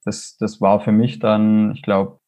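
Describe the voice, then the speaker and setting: A man's voice: lowish voice, level tone, a little slow, mellow. A man with a Swabian accent, a fantasy author speaking casually and enthusiastically in an interview, rambling with fillers and restarts. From Swabia, Germany.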